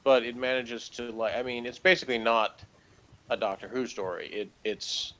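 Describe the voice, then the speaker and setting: A man's voice: mid-range voice, fairly even intonation, moderate pace, fairly bright tone. A man giving a casual, opinionated review in conversation, blunt and rambling in his criticism. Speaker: co-host of an episode review show.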